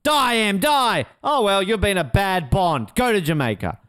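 Animated man chatting casually. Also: high-pitched, unhurried, clean tone, no room sound, studio quality. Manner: jokey, rambling. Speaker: podcast co-host. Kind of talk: casual chat.

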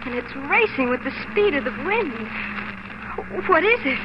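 High-voiced, earnest young pioneer wife. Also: female voice, sing-song, moderate pace. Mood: awed. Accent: American. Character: devoted, hopeful young pioneer wife and mother. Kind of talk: 1940s radio acting. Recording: muffled, noisy 1940s radio recording.

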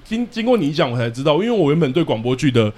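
A man with a Taiwanese accent chatting casually as a podcast co-host, in an animated, self-deprecating, jokey way. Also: fairly high pitch, very fast. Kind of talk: casual chat.

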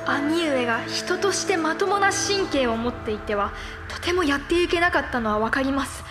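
A high-voiced feudal Japanese lady, a warlord's younger sister, anguished and principled. Her speech is formal and earnest, in radio play style over music. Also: lively intonation, moderate pace. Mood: sorrowful, dismayed. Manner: formal, polite, earnest pleading. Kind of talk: Japanese period voice drama acting.

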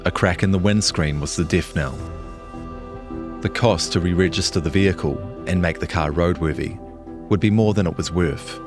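A man's voice: low voice, normal speed, music in the background. A man with a New Zealand accent, speaking as a journalist.

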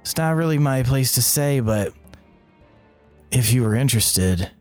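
A man's voice: medium pitch, lively intonation, unhurried, a little mellow, faint music behind, natural voice. A man voicing a guarded ship's crewman in a tabletop game, hesitant and secretive as he drops hints. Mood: guarded, hinting. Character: guarded male ship's crewman, quietly hinting.

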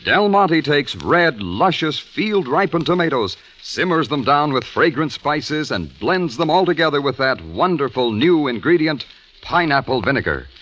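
Bright-voiced vintage radio announcer. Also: male voice, a little high, very animated, normal speed. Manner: bright, selling, enthusiastic. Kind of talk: 1940s radio commercial. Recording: dull old radio recording.

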